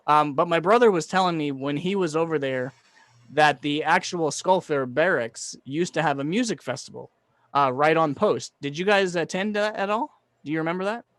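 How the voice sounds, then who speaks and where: A man's voice: on the high side, moderate pace, a bit bright, no echo. A man hosting an interview in a casual, informal way, feeling his way through his questions. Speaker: interview show host.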